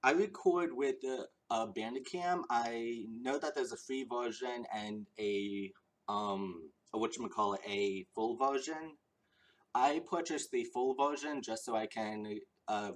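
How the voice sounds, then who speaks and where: A young man with a fairly low voice chats through viewer questions. He sounds earnest and eager, rambling along with ums and false starts. He is slightly short of breath. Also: measured pace. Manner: rambling with frequent ums and restarts. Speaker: gaming YouTuber answering viewer questions. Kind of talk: casual Q&A chat.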